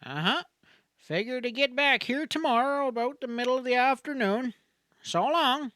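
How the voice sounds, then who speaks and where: A man's voice: extremely high pitch, lively intonation, normal speed, pushed and bright, no echo, clean studio sound, voice pushed up, heavily acted. A man voicing a wry, cynical, gallant frontier doctor with a folksy, talkative manner in a Western audiobook. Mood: gallant, breezy. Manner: folksy, drawling, eloquent.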